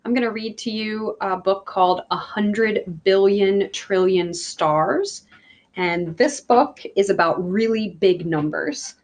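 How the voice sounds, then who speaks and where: A woman reading a picture book aloud like a teacher, with deliberate, emphatic phrasing for young listeners. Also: medium pitch. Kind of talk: children's read-aloud.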